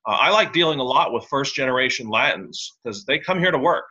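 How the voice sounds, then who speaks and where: A man's voice: medium pitch, animated, brisk, pushed and bright, slightly rough. A man being interviewed as a tax and real estate lawyer on a podcast, talking in a blunt, opinionated, wry way. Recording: slightly dull lo-fi sound.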